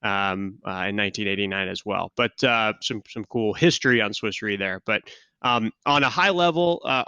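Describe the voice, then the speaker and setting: A man from Kansas City speaking as a podcast guest, joking and relaxed, with many fillers. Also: medium pitch, clean tone, no echo, dull audio. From Kansas City, USA. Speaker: guest, insurance risk specialist. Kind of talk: podcast interview.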